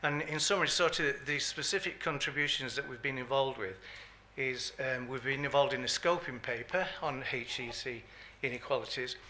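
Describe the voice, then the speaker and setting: A man gives a conference talk, pausing often with ums and uhs. His voice is bright and forceful at a middle pitch. Faint room noise sits behind him. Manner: hesitant, many ums and uhs. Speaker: public governance advisor, patient. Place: conference hall.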